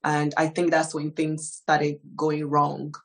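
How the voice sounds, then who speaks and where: A woman from Ghana in her thirties, telling her life story as a guest in a hesitant, soft-spoken way, with frequent fillers. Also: sits low, completely flat delivery, slow pace. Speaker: guest giving testimony. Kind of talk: personal testimony in an interview.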